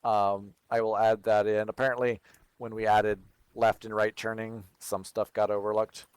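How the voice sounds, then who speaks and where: A man's voice: on the low side, level tone, normal speed, smooth, no echo. A man from Texas with no regional accent, hosting a livestream and answering viewer questions in casual, think-aloud chat.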